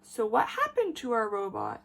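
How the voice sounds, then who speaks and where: A woman teacher reading a picture book aloud to young children, expressive and emphatic, addressing them directly with questions. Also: fairly high pitch, normal speed, creaky.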